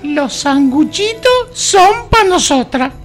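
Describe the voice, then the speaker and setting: A woman's voice: sits high, lively intonation, takes it slowly, faint music behind. A woman playing a spoiled, spiteful young stepsister in a comic radio play, exclaiming in exaggerated style with an Argentine Rioplatense accent. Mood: greedy. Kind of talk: comic radio theatre acting.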